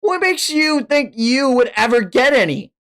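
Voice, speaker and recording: A man voicing a disgusted, scornful teenage manga girl with an indignant, shrill manner. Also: lively intonation, a little slow, fairly bright tone, heavily acted.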